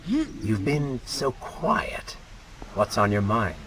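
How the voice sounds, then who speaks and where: An actor playing the sardonic, ruthless dark alter ego of a warrior prince in a video game, with a mocking, sarcastic manner. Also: male voice, medium pitch, sing-song, drawn-out words, heavy background noise. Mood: goading. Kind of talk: video game cutscene acting.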